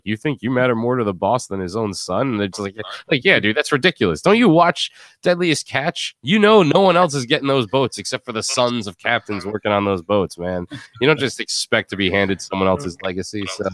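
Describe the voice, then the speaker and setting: Male podcast host, low pitch, dry sound, slangy casual panel talk. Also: fairly bright tone, no echo. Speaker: podcast host and audio drama actor.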